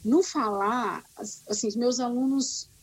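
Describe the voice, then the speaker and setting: A woman talks casually about her work as a teacher. Her voice is a little husky and sits on the higher side. She tells stories in an animated, self-mocking way, often stopping to restart a sentence. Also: measured pace, low-quality recording. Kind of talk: casual conversation.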